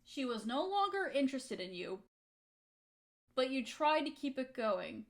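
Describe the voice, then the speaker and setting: A woman's voice: high-pitched, big pitch swings, unhurried, full character voice. A young woman acting a hurt, resentful friend in a dramatic audio roleplay, speaking in an emotional, accusing way. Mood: subdued, wary.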